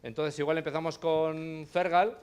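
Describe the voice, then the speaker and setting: A man's voice: on the high side, fairly even intonation, normal speed, darkish tone, clear, resonant tone. A man moderating a panel, introducing each speaker in a loose, chatty way with many fillers.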